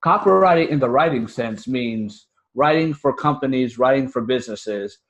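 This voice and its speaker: A man's voice: mid-range voice, expressive, moderate pace, lo-fi sound. American man, earnest and informal. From United States. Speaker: podcast guest, fiction writer and podcaster. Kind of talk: casual interview talk.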